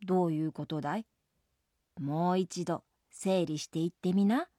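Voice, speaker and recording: A woman's voice: on the low side, normal speed, mellow, no room sound, studio quality, natural voice. A woman voicing a stern mother jellyfish in a storybook, scolding bluntly in folksy motherly words but caring underneath.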